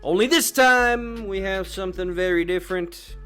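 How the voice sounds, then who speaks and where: A man's voice: high voice, normal speed, sharp tone, clean tone. Brash man hosting over music. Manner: brash, boastful, sweary, slangy. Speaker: gaming channel host. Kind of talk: casual walkthrough commentary.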